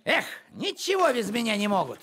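A man's voice: sits high, huge pitch swings, a little fast, noisy background. An actor playing a fussy, self-important middle-aged village postman in a grumbling, pompous manner for a cartoon. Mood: grumpy, defensive. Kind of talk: animated cartoon acting.

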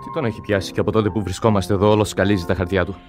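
Reticent young suitor, male actor, subdued and sorrowful, old radio drama, soft music behind. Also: low voice, little pitch movement, close to an everyday voice. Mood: melancholy, guarded. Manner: subdued, hesitant. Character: sad, reticent young suitor hiding a secret. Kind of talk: old Greek radio theatre acting.

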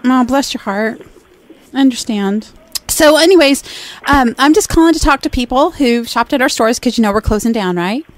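Woman prank calling, sweet fake-nice voice on the high side, keeps poking fun. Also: animated, moderate pace. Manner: sugary, teasing, calls her honey and sweetheart. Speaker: radio co-host making a prank call. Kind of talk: prank call.

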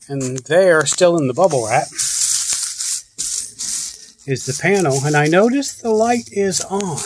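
A man's voice: a little high, slight background noise, low-quality recording. Guy filming on his phone out on the porch, just talking through his gear, kinda rambly. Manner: plainspoken, thinks aloud, loose restarts. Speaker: prepper channel host. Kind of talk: casual unboxing walkthrough. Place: porch and yard, outdoors.

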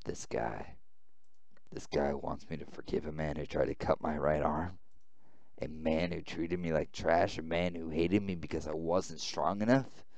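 A man voicing a weak, bitter outcast boy in a visual novel game, halting and brooding. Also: rumbling low, steady tone, vocal fry, a little mellow, no room sound, full character voice.

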